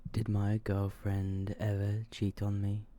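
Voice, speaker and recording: Deep, slow, hushed man reading ASMR. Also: monotone, soft background music. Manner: slow, hushed, subdued delivery. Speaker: ASMR storyteller. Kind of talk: ASMR horror story reading.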